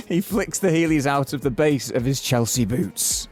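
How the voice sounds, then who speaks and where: Male narrator for comic audio drama, medium pitch, scripted scenes and casual asides, soft music underneath. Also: lively intonation, a little slow.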